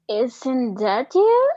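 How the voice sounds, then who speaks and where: A woman's voice: high voice, warm tone, full character voice. A young woman playing an obliging sports bar waitress in a screenplay table read. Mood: surprised, awkward. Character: obliging twenty-five-year-old sports bar waitress. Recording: slightly dull, lo-fi microphone.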